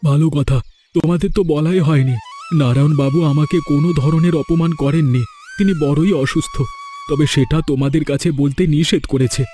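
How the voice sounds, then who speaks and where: A man's voice: fairly high pitch, moderate pace, warm tone, soft background music, natural voice. A man voicing a caring elder brother who gives dutiful advice in a fairy-tale cartoon. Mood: advising.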